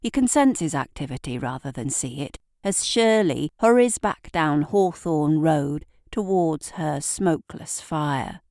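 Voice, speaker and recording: A woman narrating a scripted literary story in a measured, theatrical storytelling manner. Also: sits low, animated, a little mellow, no room sound, studio quality. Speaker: author and narrator.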